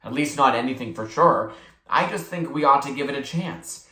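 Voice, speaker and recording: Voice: mid-range voice, expressive, moderate pace, pushed and bright. Grown-up reader doing a bossy theatrical kid from a storybook, very up and down, echoey room. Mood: coaxing, mysterious. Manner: solemn chanting, mock-innocent persuasion. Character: bossy, theatrical boy playing high priest, smooth schemer.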